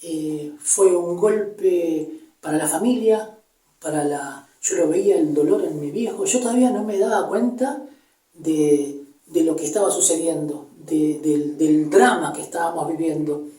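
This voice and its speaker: An Argentine man in his fifties speaks in a dark, relaxed tone as he gives a personal testimony. He is reflective and halting, often searching for the next word. The microphone sits at some distance in a roomy, echoing space. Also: fairly high pitch. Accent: Argentine, Buenos Aires area. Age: 50s. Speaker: interviewee giving personal testimony. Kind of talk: personal storytelling, unscripted recollection. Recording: echoey room, distant microphone.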